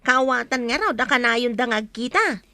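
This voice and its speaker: An actress playing a chatty, teasing village storekeeper in a radio drama, speaking with an Ilocano accent. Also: female voice, on the high side, animated, moderate pace, bright, forceful tone, dry recording. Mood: gossipy, teasing.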